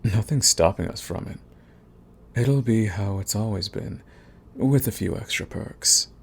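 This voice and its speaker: Man playing a low, gravelly flirty villain. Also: measured pace, heavily acted. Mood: coaxing, smitten. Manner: teasing, intimate, coaxing. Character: flirty villain, enemy turned suitor, cocky and coaxing. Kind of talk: romance ASMR audio roleplay.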